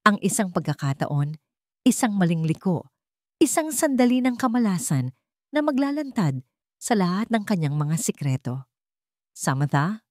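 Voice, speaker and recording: A woman's voice: mid-range voice, sing-song, normal speed, no echo, studio quality. A woman with a Filipino accent reading a scripted romance story as a dramatic narrator.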